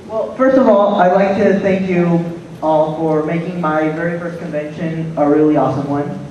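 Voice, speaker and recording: Male fan, high voice, nervous, stumbling, distant, echoey hall. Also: normal speed, darkish tone, dull audio, a little hiss or hum. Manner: nervous, stumbling over names. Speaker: audience questioner. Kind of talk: fan asking a question.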